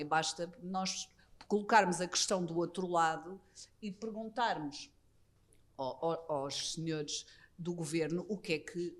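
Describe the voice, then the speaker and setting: A Portuguese woman with a European Portuguese accent, an immigration lawyer speaking on a conference panel. She talks earnestly and critically, often restarting her phrases. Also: on the low side. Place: embassy conference room.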